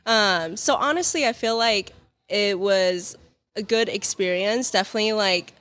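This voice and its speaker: A woman from Taiwan sits in as a podcast guest. She tells stories in a friendly, easygoing way and says "like" often. Her voice is mid-pitched. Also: unhurried, fairly bright tone, no room sound. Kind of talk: casual interview.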